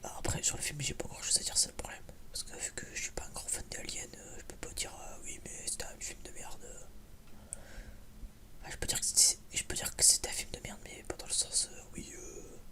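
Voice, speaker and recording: A man whispering in a breathy, almost unvoiced voice, chatting casually and hesitantly in a rambling, blunt, slangy ASMR style. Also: fairly quick. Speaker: ASMR YouTuber, film reviewer.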